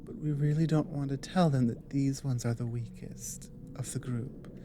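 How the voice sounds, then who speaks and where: A woman playing an earnest, apologetic female dragon in an ASMR audio roleplay, explaining things hesitantly in a soft voice. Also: extremely low pitch, fairly even intonation, normal speed, noisy background. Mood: grave, warning. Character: earnest, apologetic female dragon warning a human ally of war.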